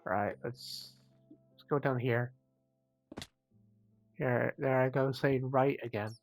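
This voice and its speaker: Male gaming host, under the weather and croaky, casual rambling commentary, mid-range pitch, faint music bed. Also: fairly even intonation, slow pace, no echo. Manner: chatty, rambling, self-mocking asides. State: croaky, sniffly from a cold.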